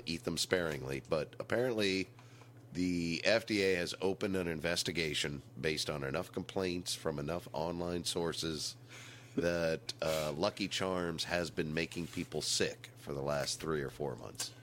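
A man's voice: deep voice, a little slow, a bit bright. A middle-aged man hosting a podcast in casual comedic chat, with wry mock-announcer asides, on a noisy recording. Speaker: podcast host.

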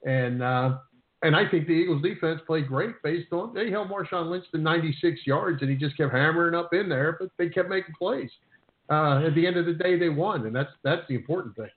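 A man co-hosting a sports radio show, talking casually and haltingly, often repeating words as he works through his point. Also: a little high. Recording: roomy, thin phone-like sound.

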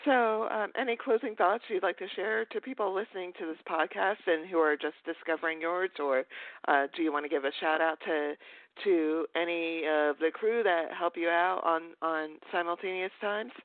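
A woman hosting a podcast interview in a conversational style, with hesitant, halting phrasing. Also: fairly low pitch, no echo. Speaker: podcast host. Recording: phone-like radio sound, dry.

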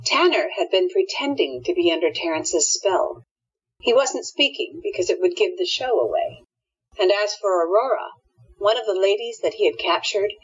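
A woman's voice: medium pitch, husky. A woman reading scripted narration for an amateur audio drama. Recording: dull lo-fi recording.

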